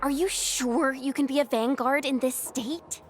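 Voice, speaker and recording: A woman's voice: sits high, fairly even intonation, measured pace. A woman playing a frank, caring young squadmate in a video game. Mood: firm, concerned.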